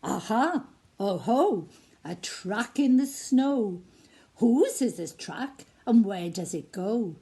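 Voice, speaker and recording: A woman voicing the Gruffalo's child, a young female monster, sounding bold and curious in a storybook reading. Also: medium pitch, animated, slow pace, mellow, exaggerated voice. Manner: bold, curious, wide-eyed.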